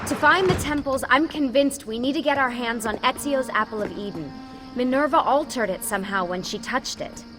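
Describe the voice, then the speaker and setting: A woman's voice: high voice, normal speed, close to an everyday voice. A woman playing an earnest, focused modern researcher briefing her team in a video game. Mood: focused, explanatory. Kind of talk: expository video game dialogue. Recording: loud music under the voice.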